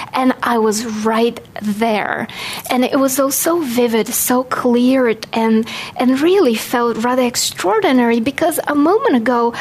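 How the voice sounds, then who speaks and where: Bulgarian lady giving a talk, kinda high voice, earnest and expressive storytelling. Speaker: guest speaker, past life regression practitioner. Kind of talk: personal storytelling talk to an audience.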